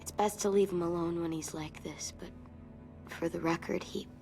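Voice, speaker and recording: A woman's voice: lowish voice, fairly even intonation, normal speed, creaky, darkish tone, soft background music. A woman voicing a blunt, tough teenage girl in naturalistic video game drama. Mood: subdued, regretful. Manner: blunt, sardonic.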